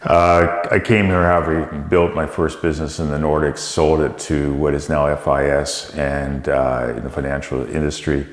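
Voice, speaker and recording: A middle-aged man with a Canadian accent, a company CEO answering interview questions in a measured, explanatory way, with frequent fillers and restarts. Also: a bass voice, flat delivery. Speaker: tech company CEO guest. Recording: echoey room with long reverb.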